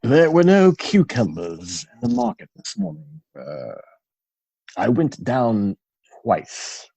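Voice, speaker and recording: A man playing a solemn, deferential butler in a stage comedy reading, formal and measured. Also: mid-range voice, huge pitch swings, gravelly, mellow, slightly rough, dry recording, full character voice.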